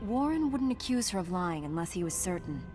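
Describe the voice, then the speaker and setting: An actress playing a calm, firm female knight in a video game, composed and resolute. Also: fairly low pitch, fairly quick. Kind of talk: video game cutscene acting. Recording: long hall reverb, faint music.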